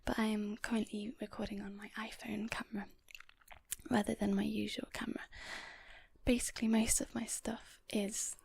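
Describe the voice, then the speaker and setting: Female ASMR host, very breathy whisper with crackly edges, casual and gently rambling. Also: medium pitch, flat delivery, moderate pace. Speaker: ASMR video creator and host. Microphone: portable handheld audio recorder. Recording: close whispered recording on portable recorder.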